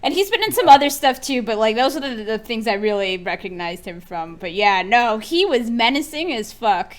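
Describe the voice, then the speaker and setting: A woman's voice: on the high side, very animated. Female co-host, blunt, swears a lot, casual podcast chat. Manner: blunt, swears freely, quick interjections.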